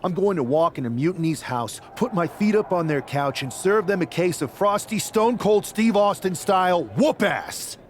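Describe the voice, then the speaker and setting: A man's voice: high voice, expressive, normal speed, slight background noise. An actor playing a cocky male tag champion wrestler with a swaggering, defiant delivery in a video game cutscene.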